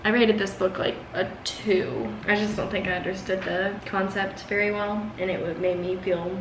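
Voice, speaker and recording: A woman's voice: mid-range voice, flat delivery, moderate pace. A young adult woman chatting casually as the host of a book vlog. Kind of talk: casual chat. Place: small indoor room at home. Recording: roomy echo with clear background noise.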